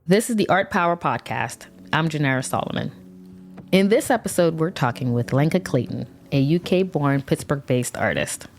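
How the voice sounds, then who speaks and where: A woman's voice: low voice, animated, moderate pace. A woman hosting a podcast, introducing her guest and asking curious questions. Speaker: podcast host.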